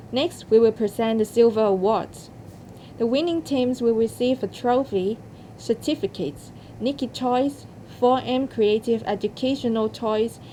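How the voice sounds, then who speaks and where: A woman's voice: on the high side, dark tone, a little hiss or hum, poor audio. A woman presenting awards from a script as ceremony host.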